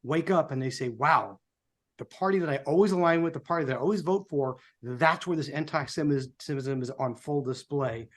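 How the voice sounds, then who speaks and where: A sixty-year-old American man giving opinionated, rhetorical political commentary as co-host of a live talk show. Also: medium pitch.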